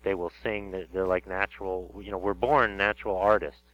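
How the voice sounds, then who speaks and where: A man interviewing a guest on the radio, speaking a little low and circling his points with fillers and restarts. The audio is dull and lo-fi, like an old radio broadcast. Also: steady tone, a bit bright. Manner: rambling, halting, many fillers and restarts. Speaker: interview host. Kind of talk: casual interview.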